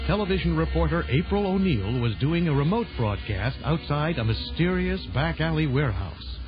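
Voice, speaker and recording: A man's voice: on the high side, animated, moderate pace, close to an everyday voice. A man narrating a children's adventure audio drama with a theatrical announcer's delivery. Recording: dull band-limited old audio drama recording. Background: loud dramatic music.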